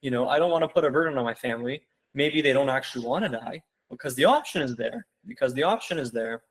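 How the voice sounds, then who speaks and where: A man's voice: medium pitch, lively intonation, fast talker, rough recording. A young man giving a casual online seminar, rambling with lots of "like" and "you know" and calling his viewers "guys.". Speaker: webinar presenter.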